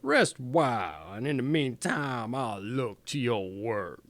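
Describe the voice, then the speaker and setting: A man voicing a kindly old woman, a gentle magical helper in a fairy tale, with a low, soothing voice. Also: big pitch swings, measured pace, no room sound. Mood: comforting.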